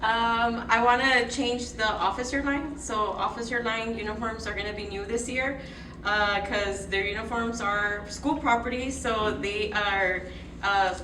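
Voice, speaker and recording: A woman's voice: on the high side, fairly even intonation, unhurried, bright, forceful tone. A woman who directs a dance team, speaking hesitantly with many fillers in a casual interview, with a South Texas accent. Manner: hesitant, lots of ums and uhs. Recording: echoey, distant, noisy room.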